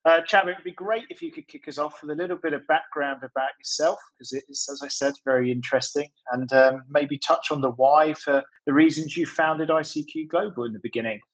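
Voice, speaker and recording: A man's voice: on the high side, fairly quick, few pauses, rough recording. Wordy male podcast host. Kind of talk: conversational podcast interview.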